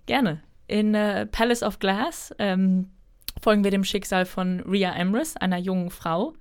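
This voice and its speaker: A woman's voice: medium pitch, fairly even intonation, a little slow, smooth. A young woman in her twenties, a German author, answering interview questions in a chatty, thoughtful way with frequent fillers. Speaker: author guest.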